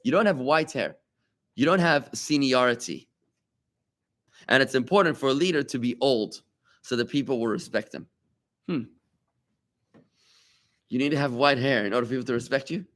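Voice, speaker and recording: A man teaching a Talmud class to students in the room. He is a rabbi, and his delivery is animated and conversational. He often stops to ask rhetorical questions and make quick asides to his listeners. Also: mid-range voice.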